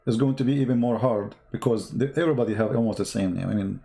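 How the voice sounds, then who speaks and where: Arabic accented guy ranting on a livestream, creaky voice, blunt and combative, broken english. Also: mid-range voice, warm tone. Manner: blunt, combative, halting non-native English. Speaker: livestream host, religious polemicist. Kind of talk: casual livestream monologue answering chat comments.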